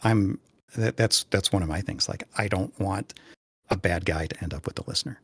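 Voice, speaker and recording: Man, deepish voice, very dry recording, podcast panelist, searching for words, earnest delivery. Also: moderate pace, breathy edge, dry recording. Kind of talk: casual panel discussion.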